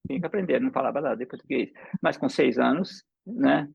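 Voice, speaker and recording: Gravelly older man telling stories. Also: medium pitch, animated, fast, no room sound. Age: 70s. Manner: storytelling, playful with wordplay jokes. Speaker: polyglot language exchange partner. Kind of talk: casual multilingual conversation. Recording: dull lo-fi sound.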